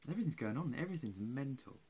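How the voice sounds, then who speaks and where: A man's voice: mid-range voice, big pitch swings, racing, darkish tone, breathy edge. A man of about forty, tired and rambling, swearing and mocking himself in a home webcam vlog. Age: 40s. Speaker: vlogger. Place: small room at home, indoors. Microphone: webcam at close range.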